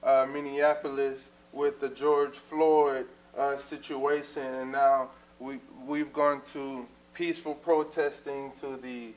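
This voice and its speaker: A man's voice: mid-range voice, level tone, slow, few pauses, rough recording. A man, hosting a casual church talk show as a minister, earnest and full of fillers. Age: 20s.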